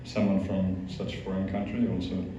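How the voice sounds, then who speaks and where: A man's voice: deep voice, level tone, moderate pace, background noise. Hesitant Austrian man in an echoey hall. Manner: hesitant, many fillers and restarts. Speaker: guest speaker, monk. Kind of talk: informal talk to a youth group. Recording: echoey, distant, dull hall recording.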